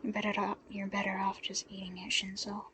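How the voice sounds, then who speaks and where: A woman voicing a gruff, offhand, streetwise teenage boy in an audiobook, low and breathy. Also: monotone, fairly quick, sharp tone, a little hiss or hum, natural voice. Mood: offhand urging.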